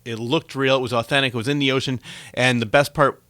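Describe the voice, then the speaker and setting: A man's voice: mid-range voice, fairly even intonation, fast talker, a bit bright, dry recording. A man hosting a conversational interview, chatty and given to telling anecdotes. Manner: chatty, tells anecdotes with acted-out quotes. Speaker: talk show host and interviewer.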